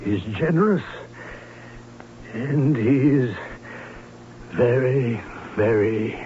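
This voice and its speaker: A man's voice: medium pitch, dark tone, lightly acted. An actor playing a pompous, grasping baron who is smugly satisfied, drawing out his words in 1970s radio drama style. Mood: smugly pleased. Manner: pompous, drawn-out, emphatic. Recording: muffled, noisy vintage radio recording.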